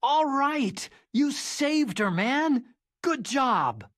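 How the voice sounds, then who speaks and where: An actor playing an earnest, excitable young American scientist ally in a video game. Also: male voice, very high-pitched, extremely slow, dry recording, studio quality, full character voice. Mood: excited. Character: nerdy earnest young male scientist ally, newly resolute. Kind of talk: dramatic video game radio-call acting.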